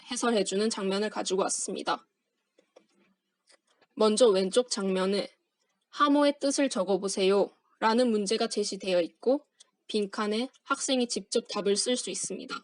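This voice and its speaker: A young woman, a university student, reading a formal project presentation aloud in a steady, scripted manner. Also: medium pitch, dry recording. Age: young adult.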